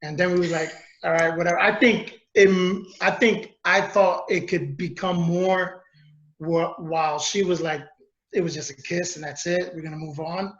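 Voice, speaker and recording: A man's voice: high-pitched, fairly even intonation, moderate pace, a bit bright, echoey room, lo-fi sound. A man from North New Jersey, a guest speaking candidly and joking a little about meeting his wife. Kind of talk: casual chat.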